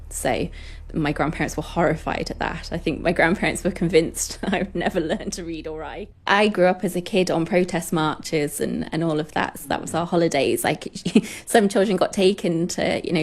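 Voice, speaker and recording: A woman's voice: on the low side, moderate pace. A woman in a recorded interview clip, chatty and laughing through her story. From United Kingdom.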